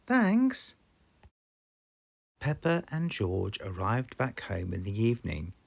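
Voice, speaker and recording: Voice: deep voice, big pitch swings, moderate pace, gravelly, husky. A storybook narrator with a British accent, reading aloud expressively for children.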